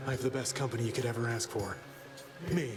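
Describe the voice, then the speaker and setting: A man voices a smug young man besotted with himself in a video game. His delivery is languid, wrapped in echo over loud music. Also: mid-range voice, fairly even intonation, quick pace, natural voice. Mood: smug, dreamy. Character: vain, self-adoring young man, smug dreamer. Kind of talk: video game character acting. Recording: echoing reverb, loud music.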